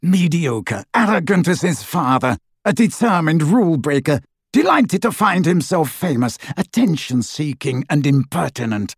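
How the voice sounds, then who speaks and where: A man's voice: on the high side, big pitch swings, normal speed, slightly rough, no room sound, studio quality, voice pushed up, heavily acted. A man voicing an anguished, bitter middle-aged wizard in an audiobook, clipped, intense and contemptuous. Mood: scornful. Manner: tormented, clipped, contemptuous delivery.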